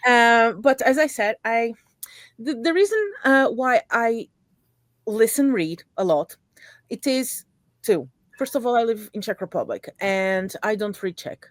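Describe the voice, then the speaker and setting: A woman hosting an interview, speaking English as a second language in a halting way, often repeating words as she searches for them. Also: a little high, no echo. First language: not English. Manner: halting, repeats words, non-native phrasing. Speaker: interview host. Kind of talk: casual interview chat.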